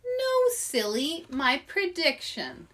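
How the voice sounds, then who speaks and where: A showy young female animal from a storybook, put on by a woman reading aloud. The voice is high and swoops with excitement. It makes grand, exuberant announcements. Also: slow pace, heavily acted. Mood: excited, reassuring.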